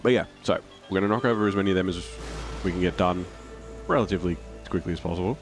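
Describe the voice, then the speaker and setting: A man with a croaky, flu-hoarse voice chatting casually while streaming a game and greeting viewers. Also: low voice, very fast, music in the background. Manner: rambling, reads out chat and greets viewers. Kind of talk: casual gameplay stream chat.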